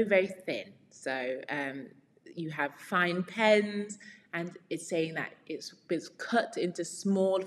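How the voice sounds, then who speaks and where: A woman reading a storybook aloud as a teacher, deliberately explaining words as she goes. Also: medium pitch. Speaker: read-along storyteller and English teacher. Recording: very reverberant room.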